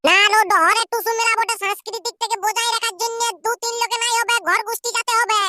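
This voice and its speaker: A bustling cartoon village woman acted by a man chatters very fast in a tiny, extremely high voice. She has a Purulia accent and sounds bossy and boastful, repeating herself as she hurries everyone along. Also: steady tone, very noisy. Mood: excited, bossy.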